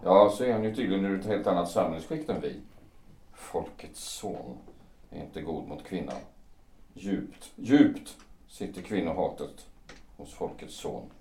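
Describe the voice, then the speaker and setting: A man playing a wounded, jealous fifty-year-old former lover in a period audio drama, brooding and halting, often repeating his words. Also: deep voice, animated, warm tone, recorded from a distance. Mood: bitter, pleading. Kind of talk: period stage-play audio drama acting. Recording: roomy echo, away from mic.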